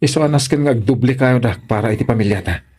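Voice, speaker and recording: A man with a Filipino accent playing a hardworking, husky farmer and family man in a radio drama. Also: medium pitch, fast, a little mellow, natural voice. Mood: steady, reassuring. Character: hardworking, reassuring farmer husband and father.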